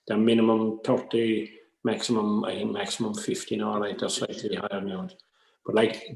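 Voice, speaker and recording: A man's voice: fairly low pitch, gravelly. A man with an Irish accent giving an informal workshop talk in a rambling, conversational way. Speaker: workshop speaker. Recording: roomy, echoey sound.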